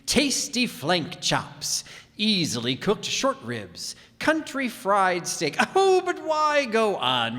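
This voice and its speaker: A man playing an old-time radio announcer and narrator, speaking in a deliberate, theatrical and emphatic way. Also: high-pitched, fairly bright tone, exaggerated voice. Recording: echoey hall stage performance.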